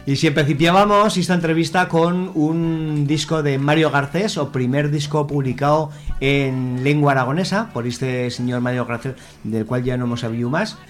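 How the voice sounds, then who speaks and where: A man's voice: fairly high pitch, normal speed. Hesitant man presenting music on radio. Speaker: radio host.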